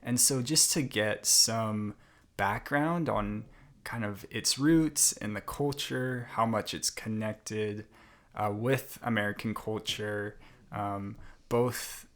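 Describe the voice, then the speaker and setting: A young man talks as a podcast guest in a low-pitched voice. He speaks very slowly and thinks aloud, with plenty of fillers and restarts. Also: fairly bright tone. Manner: thoughtful, unhurried, many fillers and restarts. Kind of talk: casual interview conversation.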